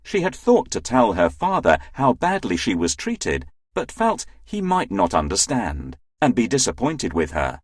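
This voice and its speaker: A man's voice: lowish voice, huge pitch swings, unhurried, few pauses, husky, dry recording, clean studio sound. A man narrating a children's fairy tale as a scripted storyteller.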